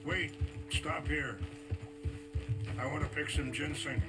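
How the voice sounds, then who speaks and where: An actor playing an earnest, plain-spoken man in a dramatic video game, with measured and formal phrasing. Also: on the high side, fairly even intonation. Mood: calm concern. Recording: loud music behind the voice.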